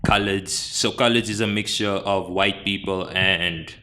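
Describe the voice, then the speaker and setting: A young man from South Africa with a South African accent, chatting casually as an interview guest, relaxed and full of fillers. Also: sits low, normal speed. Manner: relaxed, many fillers and restarts.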